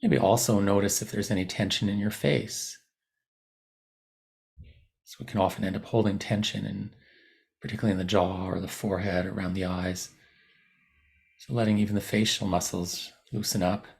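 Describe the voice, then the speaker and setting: Low, gravelly man guiding meditation. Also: fairly even intonation, a little mellow. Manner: soothing, unhurried, with long pauses between short phrases. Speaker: meditation guide. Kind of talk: guided body scan meditation, unscripted instruction.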